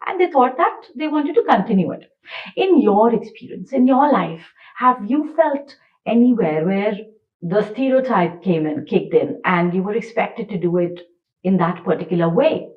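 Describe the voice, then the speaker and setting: A woman's voice: medium pitch, lively intonation, normal speed. Woman host, slightly distant, dull sound. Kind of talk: casual panel discussion, storytelling.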